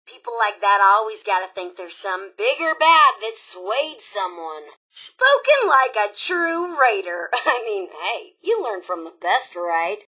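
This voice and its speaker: A woman's voice: a little high, sharp tone, slightly rough, full character voice, rough recording. A woman voicing a cocky, scheming robot raider broadcaster in a video game, with an American accent and a sarcastic, taunting, theatrical drawl. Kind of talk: video game character dialogue.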